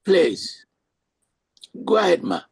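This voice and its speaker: A man hosting a panel discussion as moderator, giving brisk directions to speakers. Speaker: host and moderator. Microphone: video call.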